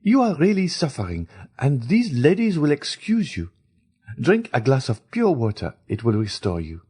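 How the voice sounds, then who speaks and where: A man's voice: mid-range voice, wildly swooping pitch, normal speed, mellow, husky, pitched above the performer's own voice. A man doing a gracious society lady. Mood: concerned. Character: composed society lady, solicitous. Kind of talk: audiobook character reading.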